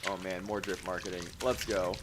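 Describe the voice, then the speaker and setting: A man's voice: deep voice, flat delivery, brisk, background noise. A man streaming a game, reading narration aloud dramatically and dropping in casual, joking commentary. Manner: dramatic read-aloud mixed with joking asides. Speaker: streamer playing a visual novel game. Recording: loud music behind the voice. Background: loud game music.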